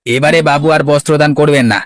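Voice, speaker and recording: A man's voice: a little high, fairly even intonation, quick pace, dry recording, clean studio sound, close to an everyday voice. A man playing a sly, scornful assistant to a rich villager in a cartoon, speaking brusquely. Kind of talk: Bengali cartoon voice acting.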